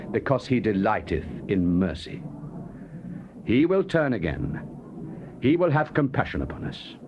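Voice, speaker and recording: A man's voice: mid-range voice, darkish tone. A man acting a reverent, fervent Old Testament prophet in a solemn, theatrical dramatization of scripture. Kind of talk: dramatized King James scripture reading. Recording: dull, noisy cassette tape.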